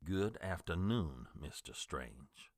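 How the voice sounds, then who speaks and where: A curt, deep-voiced small-town doctor. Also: male voice, lively intonation, slow pace, a little mellow, exaggerated voice. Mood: indignant. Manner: curt and indignant. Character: stern, defensive male small-town doctor.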